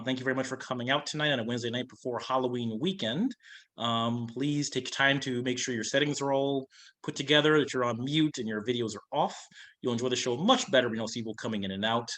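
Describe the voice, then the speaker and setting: A man's voice: mid-range voice, fairly quick, a bit bright. A man hosting, giving an informal welcome and housekeeping announcement before an online show. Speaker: host introducing an online show.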